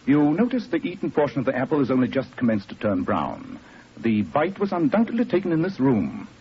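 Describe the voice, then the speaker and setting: Brilliant English detective, aloof and exact, swooping intonation, 1940s radio drama on a dull, noisy old recording. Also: male voice, mid-range voice, wildly swooping pitch, brisk. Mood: absorbed, superior. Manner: precise, deductive, superior.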